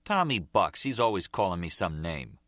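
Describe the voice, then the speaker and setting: A man's voice: low-pitched, very animated, normal speed, fairly bright tone, no room sound, clean studio sound, exaggerated voice. A man voicing an eager, resentful young mob underling in an audiobook, whiny and insistent, with street-tough grammar. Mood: indignant.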